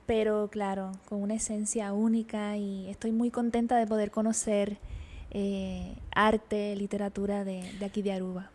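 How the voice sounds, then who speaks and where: A woman with a Puerto Rican accent answering interview questions as a guest poet, measured and reflective. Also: mid-range voice, flat delivery, darkish tone. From Puerto Rico.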